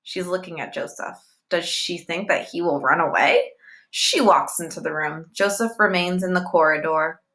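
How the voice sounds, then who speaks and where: A woman narrating a novel as a read-aloud, in short, measured sentences. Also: on the low side, a bit bright. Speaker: reader, teacher.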